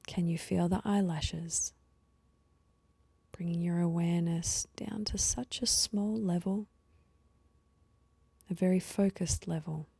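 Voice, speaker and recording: A woman leading a guided meditation with slow, soothing instructions and pauses, her voice soft and at times breathy. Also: on the low side, steady tone, no room sound.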